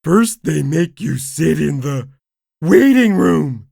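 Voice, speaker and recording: Woman voicing dim starfish buddy: raised pitch, booming, slow, dopey, dry read. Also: expressive, vocal fry, mellow, no echo, heavily acted. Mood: dopey, loud. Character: dim-witted friendly male starfish, loud.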